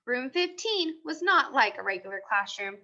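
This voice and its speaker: A woman's voice: sits high, moderate pace. A woman reading a children's mystery story aloud expressively, acting out the dialogue. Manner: animated, acts out the characters' dialogue. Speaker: storybook reader. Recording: dull, lo-fi sound.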